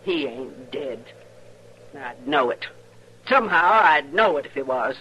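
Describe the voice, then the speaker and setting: A stern homesteader woman in a radio western, speaking with a rural Western American accent. She is curt, suspicious and sanctimonious, with a bright, lively-pitched voice over a faintly noisy old recording. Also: unhurried, full character voice. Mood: defensive. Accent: rural American West. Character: stern, suspicious, pious frontier homesteader wife.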